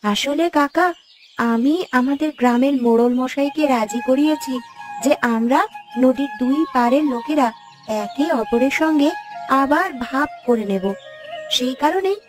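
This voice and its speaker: A woman's voice: sits high, moderate pace, close to an everyday voice. A woman voicing an earnest, hopeful and polite young village girl in a cartoon. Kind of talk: cartoon voice acting. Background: instrumental music.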